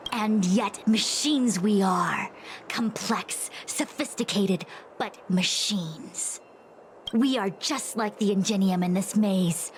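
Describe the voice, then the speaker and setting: A woman voicing a solemn, fatalistic master diviner in a game, speaking in a measured, lecturing way. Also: medium pitch, slight background noise, close to an everyday voice, low-quality recording. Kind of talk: scripted game voice acting. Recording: background music under the voice.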